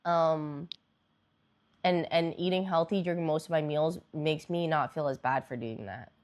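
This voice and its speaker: A woman's voice: sits low, unhurried, a little mellow. A young woman streamer chatting casually with viewers, congested and nasal, rambling with lots of fillers.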